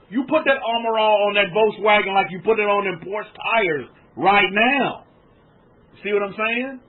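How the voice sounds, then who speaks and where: Husky high-voiced preacher over a phone line. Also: male voice. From United States. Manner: exhorting, repetitive, addresses listeners as family. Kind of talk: sermon.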